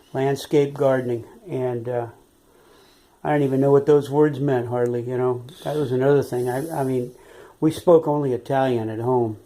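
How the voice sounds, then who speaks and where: An older man from San Francisco, now in his eighties, reminisces in a relaxed, rambling way, often pausing on "uh" and "you know." His tone is soft and mellow rather than bright. He sounds like a retired teacher sitting for an oral-history interview. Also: mid-range voice, rough recording. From San Francisco, California. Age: 80s. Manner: rambling, with frequent "uh" and "you know", chuckles as he remembers.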